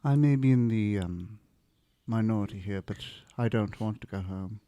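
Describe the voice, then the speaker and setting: A man playing an earnest, awkward, idealistic young demigod in improvised tabletop roleplay, with halting, deliberate and solemn delivery. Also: on the low side, dark tone, no room sound, lightly acted.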